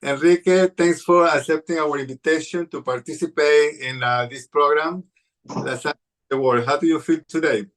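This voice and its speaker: Higher-voiced host with an Ecuadorian accent. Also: male voice, fairly even intonation, normal speed, a bit bright, rough recording. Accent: Ecuadorian Spanish. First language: Spanish. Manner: careful non-native English with fillers. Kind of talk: hosting an interview.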